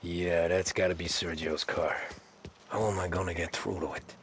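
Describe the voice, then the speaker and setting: An actor playing a dutiful, weary young mob driver in a dramatic video game cutscene. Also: male voice, low-pitched, steady tone, normal speed. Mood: businesslike. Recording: clear background noise.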